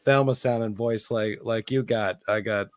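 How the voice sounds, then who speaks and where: A man hosting a live online chat, hoarse from losing his voice and talking in a rambling, halting way. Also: lowish voice, fairly even intonation, no room sound, studio quality. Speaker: host. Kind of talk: casual online hangout chat. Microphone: computer mic on a live video call.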